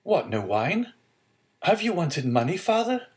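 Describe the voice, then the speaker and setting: A man's voice: on the high side, very animated, unhurried, pitched above the performer's own voice. An eager young seafarer and loving son from a classic storybook, acted out by a man reading aloud. His voice is lifted well above the narration, with an earnest, urgent delivery full of exclamation. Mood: concerned, distressed.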